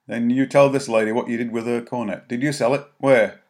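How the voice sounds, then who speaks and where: A man's voice: medium pitch, fairly even intonation, brisk, a little mellow, lightly acted. A man voicing a stern, authoritative grown man who warns a boy firmly. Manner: firm, warning. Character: stern, authoritative man helping at a soup van.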